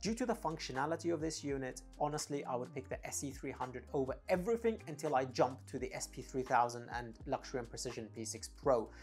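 A man's voice: medium pitch, animated, fast talker, hardly any pauses, darkish tone. Emphatic, opinionated man reviewing gear. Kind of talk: conversational product review to camera. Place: dim home studio room, indoors. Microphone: clip-on lavalier mic on the shirt. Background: faint background music.

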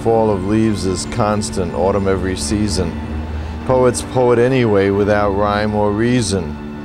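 A man playing a rambling, melancholy middle-aged poet in a theatrical monologue, halting and musing, repeating phrases to himself. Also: on the low side. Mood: brooding, poetic. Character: rambling, melancholy washed-up male poet, wistful and self-doubting. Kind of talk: theatrical monologue with recited verse.

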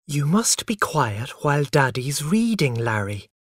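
A man voicing a gentle but firm mother in a story, patient, hushed and chiding. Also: a little high, big pitch swings, measured pace, no echo, studio quality.

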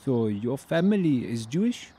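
A man's voice: mid-range voice, sing-song, moderate pace, mellow, slightly rough. An actor playing a thoughtful, idealistic young Frenchman, earnest and reflective, in a radio drama. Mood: friendly, light.

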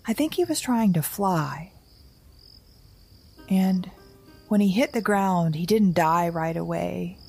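A middle-aged actress playing an anxious, wry, weary survivor who records a halting, rambling diary in a naturalistic audio drama. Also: female voice, on the low side, animated, creaky, darkish tone, husky, faint music behind, heavily acted. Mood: haunted, grim.

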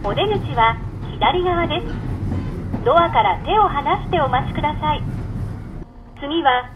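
A woman's voice: lively intonation, measured pace, muffled recording. A woman giving scripted, polite and formal onboard train announcements. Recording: very noisy recording inside moving train. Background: train rumble and noise.

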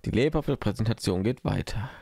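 Low-voiced man streaming a game. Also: expressive, normal speed, vocal fry, a little mellow, breathy edge, no room sound. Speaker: streamer playing a video game. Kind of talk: casual gameplay commentary. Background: game music.

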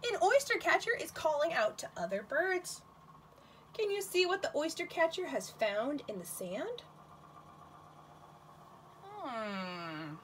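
A woman teaching nursery school, reading a picture book aloud to young children in an expressive, questioning manner, with exclamations. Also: high-pitched, takes it slowly, long pauses, a little hiss or hum, poor audio.

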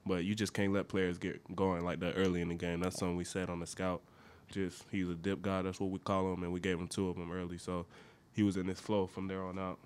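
A man's voice: low voice, monotone, a little fast, smooth. A young man in his twenties, a college basketball player, answering matter-of-factly at a post-game press conference, seated at a table mic. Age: 20s. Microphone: desk mic on a stand.

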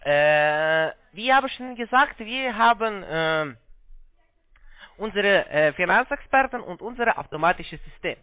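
A non-native speaker, a man with a foreign accent and halting, broken grammar, giving a phone sales pitch. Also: lively intonation, dry recording. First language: not German. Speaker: call-centre investment salesman. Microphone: telephone. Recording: muffled band-limited phone line.

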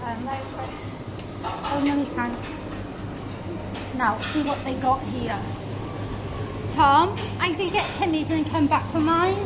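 A woman's voice: high-pitched, steady tone, slow pace, pauses often, loud music behind the voice. A woman with a Welsh accent, a mother chatting and coaxing her child while filming a casual vlog on a handheld phone in a restaurant. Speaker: vlogger, mother. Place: indoor buffet restaurant. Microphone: handheld phone camera. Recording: noisy restaurant ambience.

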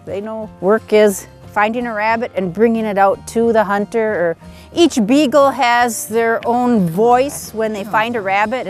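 A middle-aged woman speaks in a loose, plainspoken way, filling pauses with uhs as she explains. She is recorded outdoors in winter woods on a camera mic. Her pitch sits in an ordinary middle range. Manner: chatty, plainspoken, frequent uhs. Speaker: beagle owner. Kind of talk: casual outdoor interview.

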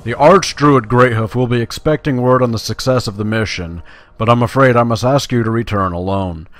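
A man voices a solemn druid warrior from a fantasy game in a medium-pitched voice. The words are read out earnestly and dramatically, as vows of loyalty and vengeance. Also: fairly quick, fairly bright tone, lightly acted. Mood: solemn, vowing.

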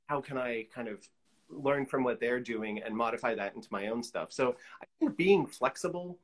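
A middle-aged man talks as a guest in a casual video-call interview. His pitch is medium, and he is easygoing, working out his thoughts as he speaks. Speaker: guest, collage artist. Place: indoor studio room, video call.

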